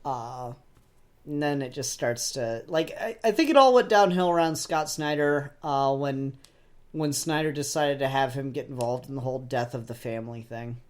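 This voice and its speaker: A man's voice: medium pitch, moderate pace. A man taking part in a casual discussion as a panelist, talking in a tentative, rambling way. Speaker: podcast panelist.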